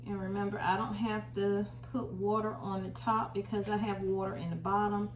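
A woman's voice: medium pitch, little pitch movement, normal speed, far from the mic. Woman, American accent, informal instructing, echoey room, away from the mic, faint noise. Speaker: home gardening video host. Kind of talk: casual how-to demonstration. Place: small indoor room at home. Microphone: camera a short distance away.

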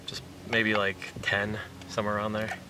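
A man's voice: lowish voice, completely flat delivery, normal speed. A man in his thirties casually demonstrating a fishing bait recipe step by step outdoors by a lake, talking plainly with fillers. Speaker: fishing vlogger. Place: outdoors on a lakeshore. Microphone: camera mic from a few meters away. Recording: distant outdoor camera audio with wind noise.

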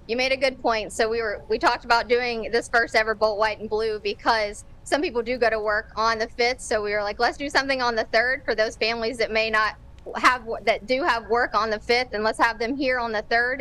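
A woman's voice: fairly high pitch, pushed and bright. A young woman speaking as a marketing executive and guest in a live TV interview, running through event details from a stadium concourse over a video link. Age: young adult. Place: open-air stadium concourse.

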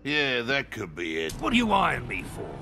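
An actor playing a cocky, sleazy mercenary crime boss in a video game, with a rough Australian accent and a taunting manner. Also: male voice, mid-range voice, wildly swooping pitch, normal speed, exaggerated voice. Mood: menacing, mocking. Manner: taunting, sardonic. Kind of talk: video game character banter. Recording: game audio over loud ambience and music.

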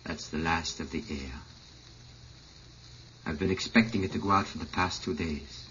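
An actor playing a grave, devoted husband stranded in a strange world, earnest and dramatic in 1950s radio style. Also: male voice, sits low, moderate pace, fairly bright tone. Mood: grave resolve. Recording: muffled, slightly noisy old radio recording.

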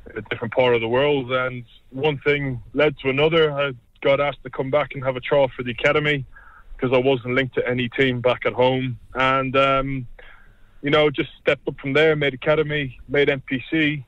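A man's voice: medium pitch, dry recording, muffled recording. A young man with an Irish accent, a rugby player chatting casually as a guest and often repeating himself. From Ireland. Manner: relaxed, rambling, repeats himself. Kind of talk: casual interview chat.

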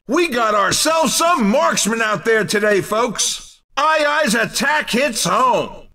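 Male arcade racing game announcer, very high pitch, lively swooping intonation, punchy shouted calls, excitable, slightly distant recording. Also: slow pace, heavily acted. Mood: excited. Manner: shouted, punchy, exclamatory delivery. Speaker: race commentator in a video game. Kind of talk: excited arcade sports commentary.

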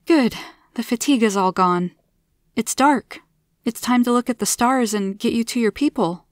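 A woman voicing a warm, eager, chronically ill woman who befriends an alien in a story, expressive and open, over background noise. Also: on the high side, normal speed, no room sound, studio quality, voice pushed up, lightly acted. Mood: excited.

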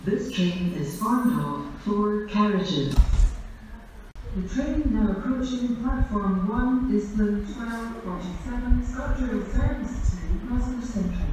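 A woman's voice: medium pitch, slow. A woman making automated train announcements over a station's public-address system in formal, clipped, segmented phrases. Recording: distant, very echoey, noisy station PA recording.

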